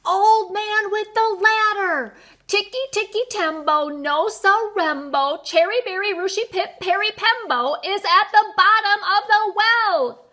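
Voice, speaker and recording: A woman's voice: sits high, animated, normal speed, a bit bright. A woman voicing a frantic, breathless, dutiful little boy in a children's storybook. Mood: shouting, urgent. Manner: gasping, panting, stumbling over words. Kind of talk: children's storybook read-aloud.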